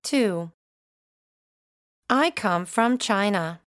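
A woman reading numbered items for a recorded English listening exercise, slowly and carefully enunciated. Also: medium pitch, expressive, fairly bright tone, no echo, clean studio sound. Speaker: recorded listening test reader.

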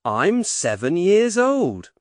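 A woman voicing a polite, cheerful young schoolboy in a scripted textbook dialogue, speaking slowly and carefully. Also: on the low side, sing-song, a little mellow, no echo, clean studio sound. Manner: slow, carefully spoken.

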